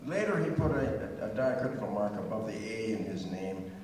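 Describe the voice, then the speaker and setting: A man's voice: lowish voice, expressive, moderate pace, darkish tone. An older man giving a chatty, digressive music history talk before a concert, with asides and a few hummed bits of melody. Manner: chatty and digressive, sings snatches of tunes. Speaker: pre-concert speaker. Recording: echoey hall from far off.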